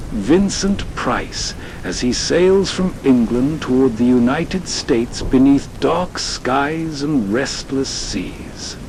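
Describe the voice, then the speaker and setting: A man hosting with unhurried, scripted narration, introducing old-time radio in a measured storytelling way. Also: a little mellow, rough recording. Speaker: host. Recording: rain and rumble behind voice. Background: storm rain and thunder.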